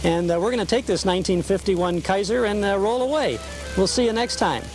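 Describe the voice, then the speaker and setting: A man's voice: high-pitched, brisk, music in the background. A man hosting an on-location interview outdoors, conversational, courteous and attentive. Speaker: TV show host, interviewer. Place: outdoors at a car meet. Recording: clear outdoor car and traffic noise.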